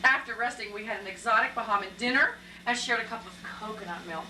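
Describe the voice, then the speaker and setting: Romantic, dramatic young woman played by a woman, bright voice, amateur play heard from afar in an echoey room. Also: a little high, quick pace. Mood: dreamy, romantic. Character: romantic, excitable young woman, Eleanor, reading her column aloud. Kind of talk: amateur stage play acting. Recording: echoey hall, distant mic, faint noise.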